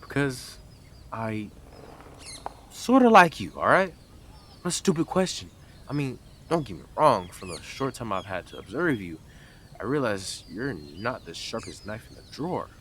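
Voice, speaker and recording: A man's voice: lowish voice, sing-song, normal speed, fairly bright tone, a little hiss or hum. A man playing a blunt, sarcastic, teasing stalker who is devoted to the listener in an intimate roleplay audio drama. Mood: flustered, blunt.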